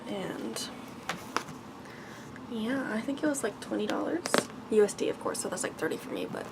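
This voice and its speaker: Female ASMR storyteller, mid-pitched, breathy and near-whispered, with creaky edges, gentle halting delivery. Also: lively intonation. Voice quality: soft, breathy, often slipping into whisper. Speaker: ASMR host reading a bedtime story. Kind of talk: ASMR, soft-spoken storybook reading. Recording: noticeable background noise.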